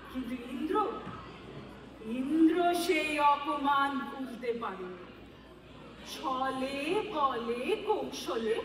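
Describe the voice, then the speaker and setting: An actress playing a proud, wronged mythological wife in a theatrical stage monologue, deliberate and bitterly ironic. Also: female voice, high voice, background noise, full character voice. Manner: dramatic, deliberate, declamatory with bitter irony. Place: stage before a live audience. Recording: echoey hall, distant mic, audible crowd.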